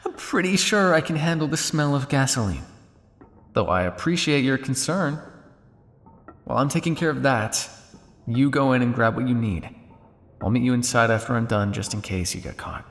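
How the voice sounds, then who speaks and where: Man playing a sardonic vampire scientist, lively swooping delivery. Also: medium pitch, moderate pace, long reverb tail, faint music behind, lightly acted. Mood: measured, planning. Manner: sardonic, condescending, with exasperated sighs and dry asides. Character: cynical, world-weary male vampire scientist on the run. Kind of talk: intimate ASMR roleplay monologue.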